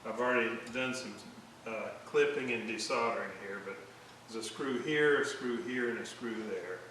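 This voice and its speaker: A man giving casual hands-on repair commentary, thinking aloud in a hesitant, halting way as he works. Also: mid-range voice, a bit bright. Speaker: electronics restorer narrating a repair video. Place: indoor room at a workbench. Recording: very echoey room, distant mic, clear hiss.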